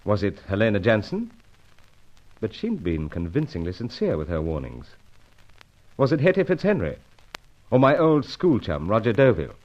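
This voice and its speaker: An actor playing a brisk, unflappable British secret agent who narrates his own adventure, with a clipped, stiff-upper-lip delivery, in an old radio serial. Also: lowish voice, huge pitch swings. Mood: narrating. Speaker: narrator and lead. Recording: dull lo-fi old radio recording.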